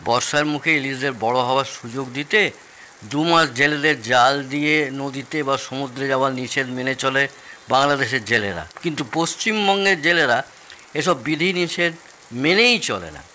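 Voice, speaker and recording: A man's voice: medium pitch, moderate pace, pushed and bright, slight background noise, close to an everyday voice. An actor playing a jovial, proud older Bengali father-in-law in an audio drama, explaining things expansively and with relish. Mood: earnest, critical.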